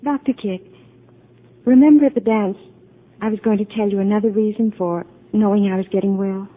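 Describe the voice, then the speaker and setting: An actress playing a gentle woman patient who is recovering and leaving an asylum, in a 1940s radio drama with a soft, earnest delivery. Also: medium pitch, steady tone, brisk, close to an everyday voice. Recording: tinny 1940s radio broadcast, faint music.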